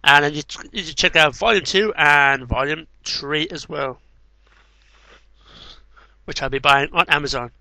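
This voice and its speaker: A man's voice: fairly high pitch, unhurried, pauses often, pushed and bright, no echo. A man in his thirties reading poetry as an amateur, with casual asides, into a headset mic in a small room. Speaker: amateur poetry reader and video maker. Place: small cluttered bedroom. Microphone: headset microphone.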